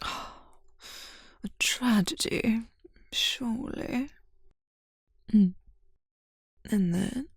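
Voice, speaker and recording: A woman playing a genteel but secretly jealous scheming mistress in an audio drama, with hesitant, deliberate delivery. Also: on the high side, creaky, slightly rough, no room sound, studio quality, heavily acted. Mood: conspiratorial. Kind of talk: scripted ASMR audio roleplay.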